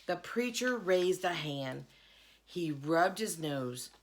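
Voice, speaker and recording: A woman reading a children's novel aloud in an expressive storytelling manner. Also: fairly low pitch, slow pace. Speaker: reader, school board member.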